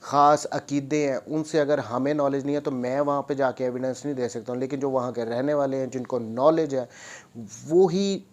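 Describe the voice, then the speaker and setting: A man's voice: medium pitch, fairly even intonation, moderate pace. A man with a Pakistani accent lecturing on law, switching between English and Urdu as he reads out and explains, repeating phrases. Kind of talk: lecture, reading statutes aloud and explaining them.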